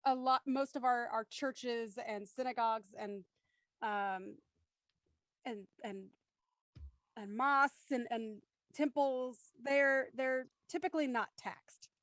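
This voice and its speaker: A woman's voice: fairly high pitch, slow, no room sound. A woman hosting a conversational discussion, thinking aloud as she works her way into questions. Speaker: host moderating a post-show discussion.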